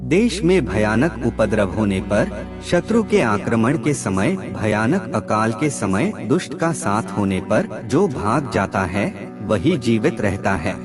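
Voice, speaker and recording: A man reading scripted moral maxims as a narrator, in a measured, instructive manner. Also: on the low side, big pitch swings. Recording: clear background music under voice. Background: music.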